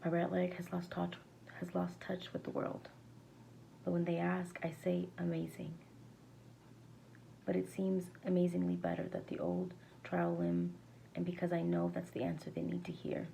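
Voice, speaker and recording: A woman reading a verse novel aloud as an amateur, with a creaky, gravelly voice and a halting delivery that sometimes stumbles and rereads words. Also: on the low side, mellow, poor audio. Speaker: amateur reader. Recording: faint background noise.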